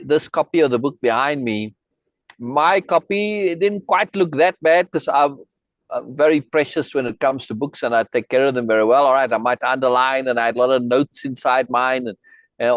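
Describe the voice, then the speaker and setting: A man's voice: medium pitch, no room sound. A middle-aged man from South Africa, hosting a video call and chatting in a friendly, rambling way, full of asides and restarts. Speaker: host. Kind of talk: casual chat on a video meeting.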